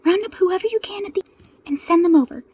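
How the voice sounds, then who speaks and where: A woman's voice: very high voice, quick pace, a little mellow, voice pushed up. A woman voicing an urgent young female superhero in a fan audio story, panicked but determined, with breathless field reports. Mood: flustered, determined.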